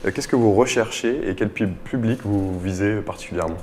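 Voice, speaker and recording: A man's voice: on the low side, brisk, a little mellow. A man hosting a radio interview, introducing segments and putting questions to a guest. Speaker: radio host.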